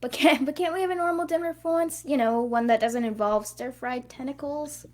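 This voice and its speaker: A woman's voice: sits high, a little fast, lightly acted. A woman voicing an exasperated, sarcastic young girl who scolds, in an amateur cartoon table read. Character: exasperated, sharp-tongued young girl cousin.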